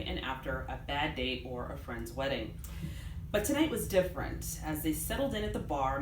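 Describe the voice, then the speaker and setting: A woman author giving an expressive live reading of her novel to a room. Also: sits low. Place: indoors in a bookstore. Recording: roomy live event, background noise. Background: room ambience, clear background noise.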